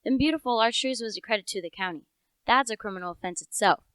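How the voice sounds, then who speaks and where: Teen girl as clear-voiced, opinionated rustic constable. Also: on the high side, quick pace, fairly bright tone, dry recording, natural voice. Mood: indignant, suspicious. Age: teenager. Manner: folksy, insistent, indignant. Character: opinionated, suspicious rustic village constable named Joe. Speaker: actor in a student radio play.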